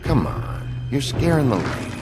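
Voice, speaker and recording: A man playing a world-weary, tough sheriff who is the Big Bad Wolf, terse and sardonic with a gravelly voice, in a hard-boiled video game. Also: mid-range voice, sing-song, moderate pace, loud music behind the voice.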